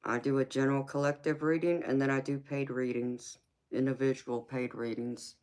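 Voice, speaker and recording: A woman's voice: very deep voice, level tone, moderate pace, darkish tone, poor audio. A woman in her forties, a tarot reader chatting casually with viewers on a live stream and answering their comments by name. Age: 40s.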